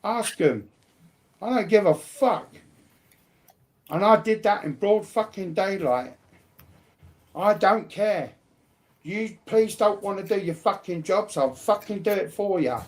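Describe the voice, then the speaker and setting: A man's voice: sits high, expressive, unhurried, pauses often. Blunt, sweary man. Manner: blunt, combative, repetitive slangy talk. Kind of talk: casual, heavily sweary chat.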